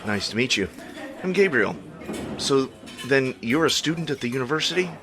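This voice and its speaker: A man plays a superhero in middle age, in an audio drama with music behind him. His pitch moves around a lot. He is reassuring and a little weary, with wry self-deprecation. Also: expressive, moderate pace, natural voice. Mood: awkward, polite.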